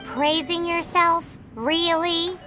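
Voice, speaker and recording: A woman's voice: extremely high pitch, steady tone, takes it slowly, bright, forceful tone, soft background music, heavily acted. A woman voicing a tiny, cheeky, boastful fairy companion with a childlike voice in an anime game. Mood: teasing. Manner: refers to self in third person, teasing. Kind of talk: anime video game voice acting.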